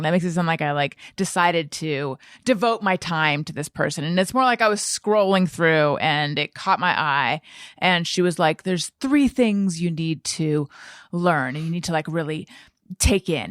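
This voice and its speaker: A woman's voice: on the low side, no room sound, studio quality. An American woman hosting a podcast in a casual, rambling, wry and mock-serious way. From United States (Orange County, California). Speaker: podcast host. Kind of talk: casual podcast monologue and interview chat.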